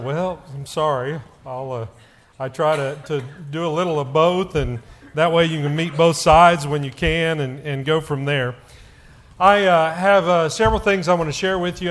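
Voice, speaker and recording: A middle-aged man with a fairly high, loud voice gives a church announcement to the congregation. He talks in a halting way, often filling gaps with "uh" and restarting his sentences. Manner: loud voice, halting with many fillers. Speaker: church elder.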